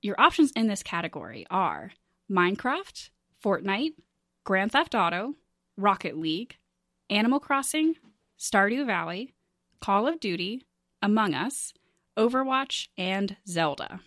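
A woman's voice: medium pitch, very animated, unhurried, a bit bright, dry recording, clean studio sound. A woman hosting a casual panel game, chatty and jokey, often laughing as she explains and runs things. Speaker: game show host.

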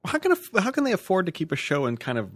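A man's voice: high voice, wildly swooping pitch, fast, fairly bright tone, no room sound. A man chatting casually as a co-host on a podcast. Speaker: podcast co-host asking questions.

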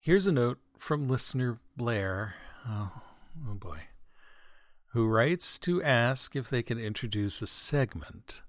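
A man with a very lively, swooping delivery and a mid-pitched voice hosts a comedy podcast. He reads scripted material with asides, mock-earnest and tongue-in-cheek, in a close, dry recording. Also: slow, no echo. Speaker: podcast host reading listener mail. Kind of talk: scripted comic narration with asides.

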